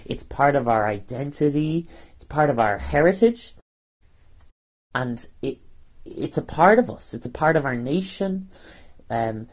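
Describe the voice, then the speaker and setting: A young man with a Dublin Irish accent speaking earnestly off the cuff, halting and often repeating phrases as he goes. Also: medium pitch, animated. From Ireland. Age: young adult. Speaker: interviewee, university student. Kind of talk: unscripted personal talk.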